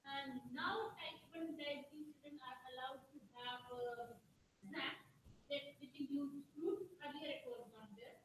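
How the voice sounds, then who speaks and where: A woman from India in the audience answers from the floor in a high voice, pausing as she looks for words. She sounds far from the mic, in a very echoey room with a faint hiss behind her. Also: fairly even intonation, fairly bright tone. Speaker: audience member.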